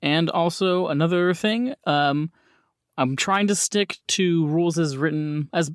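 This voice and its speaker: A man's voice: a little high, a little slow, clean tone, no echo, studio quality. A man hosting a tabletop role-playing game as game master, explaining rules and calling on players in a casual way.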